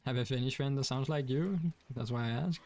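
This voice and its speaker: Relaxed Danish-accented man streaming. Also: mid-range voice, a little fast. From Denmark. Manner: relaxed, rambling, many fillers like "like". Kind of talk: casual gaming stream chat.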